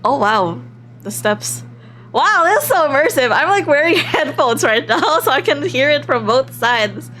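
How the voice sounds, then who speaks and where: A woman hosts a casual game livestream in a high-pitched, very animated voice. She is excitable and expressive, yet takes her time as she chats and reads text aloud. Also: a little slow, a little hiss or hum. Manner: excitable, giggly, expressive reactions. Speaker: livestream host. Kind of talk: casual live game stream with read-aloud and reactions.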